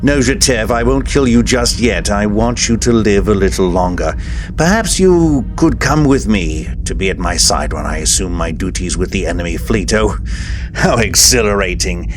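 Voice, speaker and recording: A man's voice: on the low side, animated, moderate pace, music in the background, natural voice. A man playing a treacherous, bitter starship officer in an audio drama, taunting in a pompous, theatrical way. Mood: gloating.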